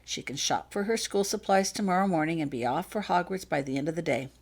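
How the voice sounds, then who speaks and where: A woman voicing a courteous, quietly commanding wizard father in an audiobook story, speaking smoothly and persuasively. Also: fairly low pitch, fast talker. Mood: persuasive, decisive. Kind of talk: acted fanfiction audiobook dialogue.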